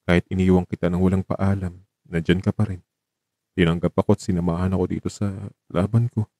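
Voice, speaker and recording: A man playing an ailing, tender and teasing man in an audio drama, speaking softly and haltingly with sentiment. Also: deep voice, level tone, no echo, studio quality. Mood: tender, grateful. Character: ailing young man, tender, teasing, grateful to his companion. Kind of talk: Tagalog audio drama acting.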